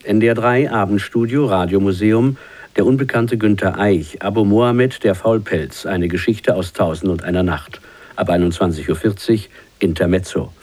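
A man's voice: lowish voice, normal speed, darkish tone. A man reading radio programme announcements in short formal phrases, with a standard German accent. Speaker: station announcer.

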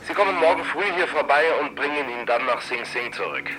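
An actor playing a police lieutenant in a radio play, reporting in factual, clipped phrases. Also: male voice, medium pitch, lively intonation, brisk, lightly acted, poor audio. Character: police lieutenant briskly giving news of an arrest.

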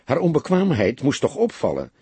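A man's voice: mid-range voice, huge pitch swings, warm tone, breathy edge, dry recording, pitched above the performer's own voice, heavily acted. Een man met een Nederlands en hese stem speelt in een luisterboek een geduldige, vasthoudende rechercheur die afgemeten, korte vragen stelt. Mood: probing. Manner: short, pointed questions, measured. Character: probing, patient male police detective, calmly insistent.

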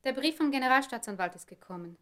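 Radio drama secretary, woman: fairly high voice, polite, brisk, matter-of-fact. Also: lively intonation. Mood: businesslike. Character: efficient, polite female secretary reporting to her boss. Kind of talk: German radio drama acting.

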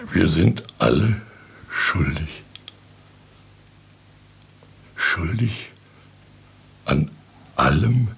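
Gravelly, very deep drunken ranter. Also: male voice, fairly bright tone, exaggerated voice. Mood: grave, accusing. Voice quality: gravelly, husky. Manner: theatrical, rambling, lurching between declamation and muttering. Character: drunken, ruined man ranting about universal guilt. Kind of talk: radio drama acting.

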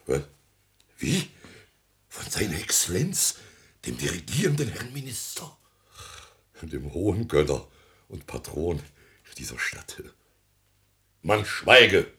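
An actor playing a pompous, self-important elderly small-town mayor in a radio comedy, declaiming and blustering in stuffy officialese. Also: male voice, very deep voice, gravelly, a bit bright, full character voice. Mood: awed, self-important. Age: older. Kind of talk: theatrical radio comedy acting.

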